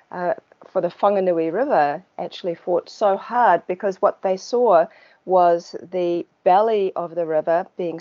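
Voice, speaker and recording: A woman with a New Zealand accent and a fairly low voice talks easily with an interviewer, warm in tone and unhurried, with filler words along the way. The recording is dull and lo-fi. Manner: relaxed and chatty, with small laughs and filler words. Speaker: guest. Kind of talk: casual conversational interview. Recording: dull lo-fi sound.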